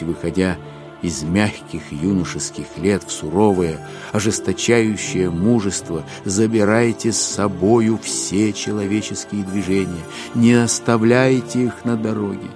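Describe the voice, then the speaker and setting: A man's voice: low voice, measured pace. A man narrating classic literature in a dramatic style for an audio play. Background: music under the narration.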